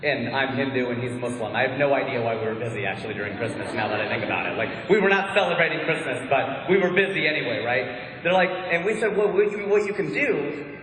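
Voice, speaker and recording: A man's voice: medium pitch, expressive, quick pace, a crowd in the background. A man from Ohio with a Midwestern American accent doing a chatty, self-mocking stand-up comedy set. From Ohio, USA. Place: large hall with audience. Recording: echoey hall.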